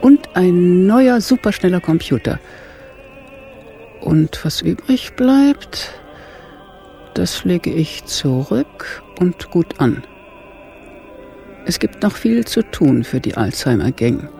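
An actress playing a shrewd old woman, the brain of a gang, in a measured, theatrical manner in a radio crime play. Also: lowish voice, very animated, creaky, mellow, faint music behind, heavily acted. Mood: scheming, satisfied. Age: older.

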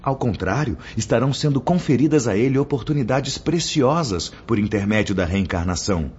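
A man's voice: mid-range voice, expressive, a little fast, darkish tone, a little hiss or hum, close to an everyday voice. A man acting a stern spirit mentor in a radio drama, admonishing with solemn, oratorical declamation and rhetorical questions. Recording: dull lo-fi audio with music behind. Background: background music.

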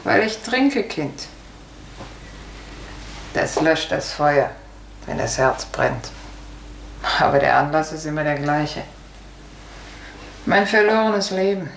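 An actress playing a weary, hard-drinking older serf aunt in a radio play, resigned and sardonic in a measured delivery. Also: female voice, low-pitched, animated, creaky, full character voice. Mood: bitter resignation. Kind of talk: 1950s German radio play acting. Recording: echoey, hissy 1950s radio recording.

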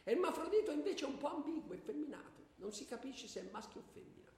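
An actor playing a prim, easily shocked schoolboy who stiffly recites a god's part in a comic stage play. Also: male voice, extremely high pitch, sing-song, quick pace, darkish tone. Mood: declamatory. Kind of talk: comic stage acting, stilted recitation breaking into asides. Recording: echoey hall with long reverb.

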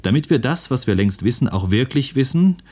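A man's voice: mid-range voice, lively intonation, brisk, dark tone. A man presenting a scripted radio introduction.

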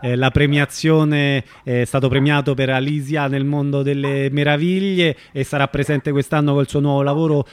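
A man's voice: mid-range voice, flat delivery, moderate pace, hardly any pauses, smooth. A man hosting a live radio interview, informal and loosely phrased, with hesitations as he makes announcements and puts questions. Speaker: radio show host.

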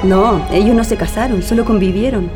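A woman's voice: medium pitch, brisk, natural voice. A woman with a Chilean accent playing a grown daughter who tenderly recalls her parents in a radio drama. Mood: reflective. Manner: reflective, reminiscing. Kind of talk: radio drama testimony acting. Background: music.

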